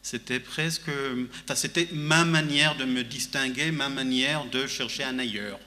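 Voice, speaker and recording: A man's voice: mid-range voice, normal speed, pushed and bright. A man, a panel guest speaking thoughtfully at a literary festival, with many fillers and restarts. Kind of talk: panel discussion. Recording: reverberant hall.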